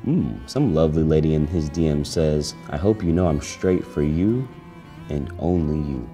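Laid-back young man with lively pitch. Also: normal speed, soft background music. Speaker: participant. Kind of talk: casual reality-show commentary.

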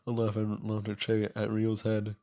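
A man's voice: on the low side, monotone, moderate pace, mellow. A young man doing casual, jokey playthrough commentary, reading dialogue aloud theatrically with playful asides. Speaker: gaming video host.

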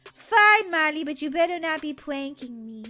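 Squeaky, sassy little girl. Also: animated, normal speed, pushed and bright, soft background music. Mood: sceptical, chiding. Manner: childlike, chiding. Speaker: gaming video co-host.

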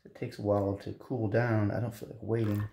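Casting: late-twenties man, dark mellow low voice, meandering vlog chatter. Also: little pitch movement, fairly quick, gravelly, roomy sound. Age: late 20s. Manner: meandering, many fillers and restarts. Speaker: art vlogger. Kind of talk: casual rambling vlog; voice-over while drawing. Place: small apartment kitchen, indoors. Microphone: handheld camera at arm's length.

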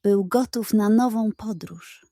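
A woman's voice: mid-range voice, slow, mellow, dry recording, clean studio sound. A woman narrating a scripted bedtime story for children, as a storyteller. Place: close, dry recording.

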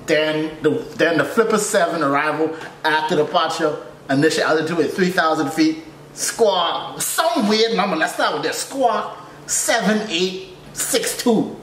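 A man's voice: on the high side, measured pace, fairly bright tone. A young man giving casual reaction commentary and stories in an exasperated, slangy, repetitive way. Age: young adult. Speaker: reaction video host, air traffic controller. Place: small furnished room indoors. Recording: roomy echo, faint background noise.

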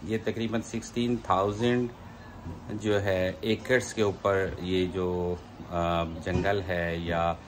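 Middle-aged man, Pakistani Urdu accent, low voice, relaxed chatty travel-vlog delivery, phone mic with noise behind. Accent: Urdu-speaking, Pakistani. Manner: chatty, unhurried, many fillers and asides. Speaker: travel vlogger. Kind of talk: casual travel vlog narration. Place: indoors by a plain wall, then driving on a highway. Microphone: phone camera at arm's length.

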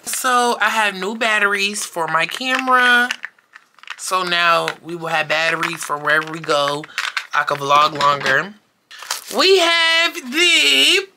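An excitable young woman in her late teens chats straight into a close handheld camera, dropping slang and breaking into squeals. Her voice sits at a middling pitch for a woman, and a steady hiss of noise runs under the recording. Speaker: vlogger. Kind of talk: casual vlog chat to camera. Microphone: handheld camera close to face.

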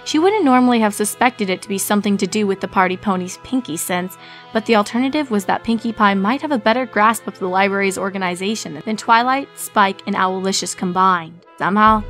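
A woman's voice: mid-range voice, fairly quick, a bit bright. A woman reading scripted fan fiction as a story narrator. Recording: music behind the voice.

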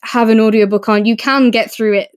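A woman's voice: on the high side, steady tone, fairly quick, no room sound. Enthusiastic woman podcaster, close dry sound. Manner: enthusiastic, effusive. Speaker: podcast co-host. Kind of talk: casual book review discussion.

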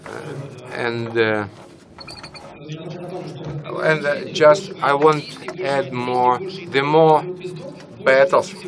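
A man commenting from the audience at a conference, holding a handheld mic and deliberately speaking lower than his natural high voice. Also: steady tone, crowd noise. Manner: measured, with fillers. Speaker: audience member. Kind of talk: question from the floor. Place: conference hall, standing among the audience. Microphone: handheld microphone.

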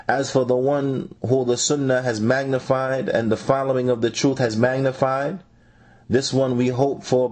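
Male reader for religious translation, mid-range voice, deliberate phrasing, read-aloud delivery. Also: mid-range voice.

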